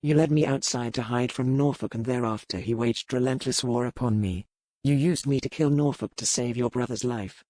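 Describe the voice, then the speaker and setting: Male actor as pirate captain, stern, commanding, measured formal speech, audiobook drama, dry close sound. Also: mid-range voice, a little mellow, dry recording, close to an everyday voice. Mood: reproachful, resolute. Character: stern pirate captain, cold but courteous to a captive lady.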